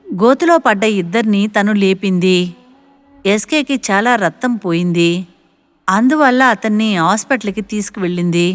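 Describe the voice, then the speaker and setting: A woman narrating an animated moral story in a scripted storytelling style. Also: mid-range voice, moderate pace, in a large hall, faint music behind.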